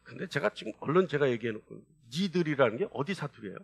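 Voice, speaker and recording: A man's voice: medium pitch, animated, fast, gravelly, breathy edge. A man preaching a sermon as a pastor, emphatic, putting rhetorical questions to the congregation and repeating phrases for stress. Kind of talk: church sermon with call-and-response prompts.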